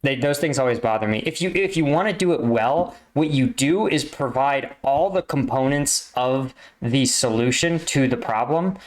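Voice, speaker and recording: A man's voice: mid-range voice, lively intonation, moderate pace, few pauses. A man giving casual, critical commentary as a reaction host, explaining at length with an exasperated edge and checking in with "right?". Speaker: reaction video host, army combat veteran.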